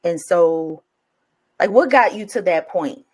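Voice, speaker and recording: A woman's voice: lowish voice, expressive, slow pace, husky. A woman hosting a podcast, asking questions in a chatty, casual way with frequent backchannels. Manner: chatty, backchannels often, laughs. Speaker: podcast host. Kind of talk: casual interview conversation.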